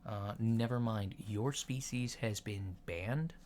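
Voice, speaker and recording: Male ASMR roleplayer as a mildly awkward alien doctor: lowish, very slow, hesitant, gently clinical delivery, faint noise behind. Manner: soft-spoken, hesitant, clinical and matter-of-fact. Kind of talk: ASMR medical checkup roleplay. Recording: lo-fi home recording with background noise.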